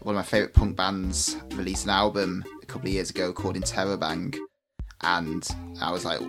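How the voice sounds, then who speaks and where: A woman's voice: very deep voice, flat delivery, brisk, over music. A woman co-hosting a podcast, taking a quiz, thinking aloud and laughing often. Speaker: podcast co-host and quiz contestant. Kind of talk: casual podcast chat.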